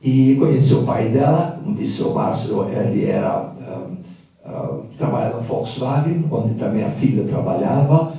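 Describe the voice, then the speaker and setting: A man's voice: medium pitch, mellow. Older man in an echoey hall. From Hungary. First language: Hungarian. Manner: reflective, halting with fillers. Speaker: guest speaker. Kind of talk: personal storytelling talk. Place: event hall. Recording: echoey room from a distant mic.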